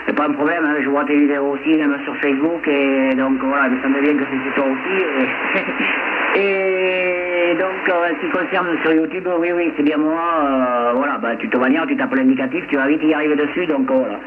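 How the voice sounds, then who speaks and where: A man's voice: a little high, brisk. Man on a narrow, noisy radio link. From France. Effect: radio transmission. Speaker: amateur radio operator. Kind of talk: casual radio contact chat.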